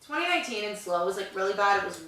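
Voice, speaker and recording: A woman's voice: mid-range voice, big pitch swings, very fast, sharp tone, far from the mic. Chatty young woman, echoey room. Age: 20s. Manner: chatty, rambling with many fillers. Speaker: podcast co-host. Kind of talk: casual chat.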